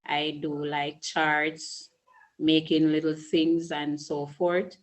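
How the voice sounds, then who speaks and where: A middle-aged woman with a Jamaican accent speaks slowly and deliberately in a low voice. She answers earnestly, pausing and restarting as she works through her thoughts, like a school principal being interviewed. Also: flat delivery. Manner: slow, measured, earnest with restarts. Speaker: early childhood school principal.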